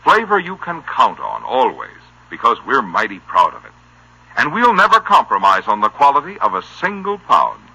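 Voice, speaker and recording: An American male announcer delivers an old-time radio commercial. His voice is sharp and slightly husky, with lively rises and falls. The selling style is polished and folksy. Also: mid-range voice, normal speed, low-quality recording. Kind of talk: 1950s radio ad read.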